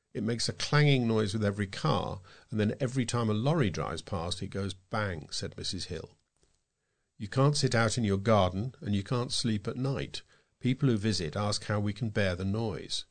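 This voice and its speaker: A man reads local news from a script as a volunteer newsreader. His voice sits fairly low, and he delivers each item in a measured, read-aloud way.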